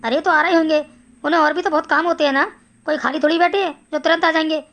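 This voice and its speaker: A woman plays an eager cartoon villager, chatty and respectful. The voice is high-pitched and rattles along quickly in a deferential way. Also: steady tone, fairly bright tone, dull audio. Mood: soothing. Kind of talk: animated Hindi story dialogue.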